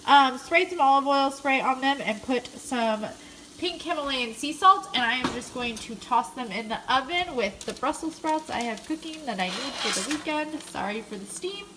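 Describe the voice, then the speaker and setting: A woman's voice: high-pitched, animated, moderate pace, noisy background. A young American woman chatting casually to her viewers as a fitness vlogger, explaining things in an informal, chatty way. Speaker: fitness vlogger in bikini contest prep. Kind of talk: casual vlog chat to viewers.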